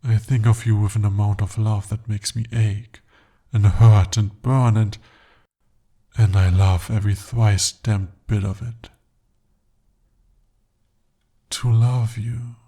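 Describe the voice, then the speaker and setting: A man voicing a breathy, possessive bound demon. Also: lowish voice, exaggerated voice. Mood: adoring, intense. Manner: intimate, soft-spoken, slow and deliberate. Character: jealous, possessive male demon bound to a sorcerer. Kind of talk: ASMR roleplay.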